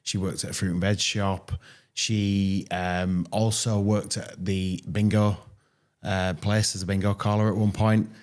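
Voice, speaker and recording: A man from East Leeds with a Yorkshire accent, a podcast guest telling childhood stories in a friendly, relaxed way. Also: low-pitched, a little slow. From East Leeds, England. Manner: friendly, relaxed storytelling with fillers. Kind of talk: casual interview storytelling.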